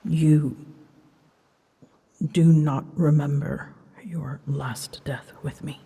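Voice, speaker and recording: A woman playing an ancient female dragon in a fantasy ASMR drama, solemn and tender, speaking softly in measured, formal phrases and often near a whisper. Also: deep voice, heavily acted. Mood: grieving, resolute. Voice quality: soft, breathy, husky, often dropping into a whisper. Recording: long cavernous reverb.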